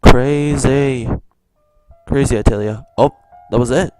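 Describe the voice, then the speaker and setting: A man's voice: medium pitch, measured pace, mellow, soft background music, poor audio. A man giving casual reaction commentary as the host of a video.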